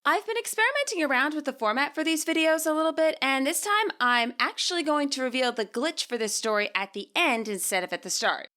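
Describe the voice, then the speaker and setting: A woman reading scripted story narration as its author, in an expressive way. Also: sits high, brisk, hardly any pauses.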